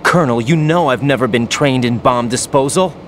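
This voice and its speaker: A young rookie soldier voiced by a man speaks at a middle pitch in an uneasy, questioning way. Clear background noise runs under the game audio. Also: brisk, close to an everyday voice. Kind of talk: video game cutscene dialogue.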